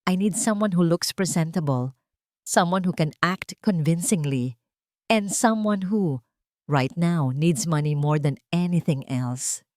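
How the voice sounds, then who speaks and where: A woman's voice: lowish voice, a little slow, a little mellow, no echo, natural voice. Woman doing a bossy young ceo guy for a love story, cool n blunt, all business, super dry sound. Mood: cold, firm. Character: commanding, cool young male billionaire CEO. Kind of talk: romance audio story dialogue.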